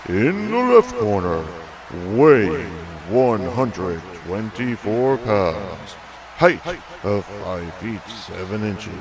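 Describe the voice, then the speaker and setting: A man playing a grandiose boxing ring announcer, stretching out his fighter introductions in an animated show. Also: heavily acted. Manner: drawn-out, booming introductions. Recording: crowd noise behind the announcer.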